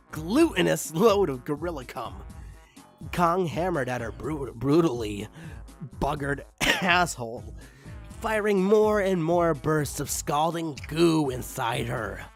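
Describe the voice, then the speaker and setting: Man, theatrical fan fiction reader, slow pace, swooping intonation, music behind. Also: on the high side, lively intonation, gravelly. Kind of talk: dramatic story reading with amused asides.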